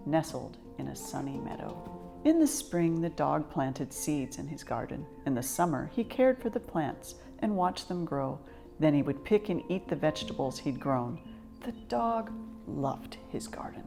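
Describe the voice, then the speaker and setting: Female storybook reader, lower-pitched voice, very animated expressive narration for young children. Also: vocal fry.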